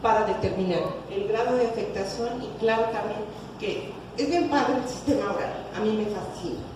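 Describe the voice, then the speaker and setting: A woman's voice: medium pitch, moderate pace. A woman with a Mexican accent speaking as a conference lecturer, explaining emphatically and answering questions. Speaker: lecturer, clinical psychologist. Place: conference hall with reverberation. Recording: echoey hall, distant mic, noisy.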